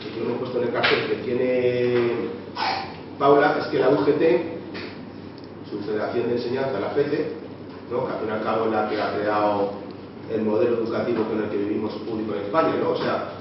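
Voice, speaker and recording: A man's voice: medium pitch, fairly even intonation, moderate pace. A man organizing a public debate, introducing the speakers in a rambling way, full of little tag questions. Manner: rambling, full of "¿no?" and "o sea" tags. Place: meeting room of a local association. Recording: echoey, muffled room recording from a distant mic with background noise.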